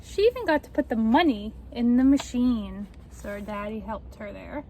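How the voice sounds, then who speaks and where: A young woman reading a children's book aloud in a deliberate, expressive way, explaining and asking the listeners questions. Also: a little high, low-quality recording. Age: young adult. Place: outdoors on a front step by a house door. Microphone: camera at a short distance. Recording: faint outdoor street noise.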